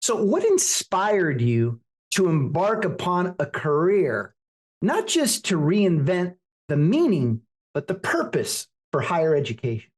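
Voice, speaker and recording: A man's voice: high-pitched, huge pitch swings, measured pace, roomy sound. A man hosting a podcast, reading a scripted introduction and then asking interview questions with emphatic delivery. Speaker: podcast host.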